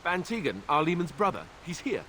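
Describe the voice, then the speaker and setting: A man's voice: fairly high pitch, very animated, a little fast, fairly bright tone, slight background noise. An actor voicing a self-deprecating young male warrior in a fantasy video game, talking in a wry, hesitant way. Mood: surprised.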